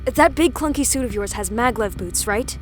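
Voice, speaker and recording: Woman playing a high-voiced plucky young barista. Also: expressive, lightly acted. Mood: urgent, resourceful. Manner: wry, nervy, quick-thinking. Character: plucky, sarcastic young female spaceport barista. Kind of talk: audio drama acting. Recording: loud music bed under dialogue.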